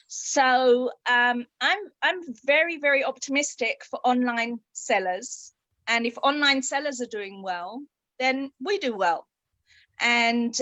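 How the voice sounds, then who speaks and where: A woman with a fairly high, bright, crisp voice talks in an upbeat, chatty way, often pausing on ums. She is heard close and dry through a video call. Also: dry recording, rough recording. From Israel. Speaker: guest, copywriter. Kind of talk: interview answers.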